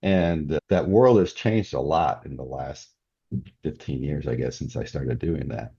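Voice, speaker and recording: A man's voice: rumbling low, a little slow, dark tone, slightly rough. An older man talking casually as a guest in a podcast interview, often chuckling as he speaks. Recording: dull, muffled lo-fi audio.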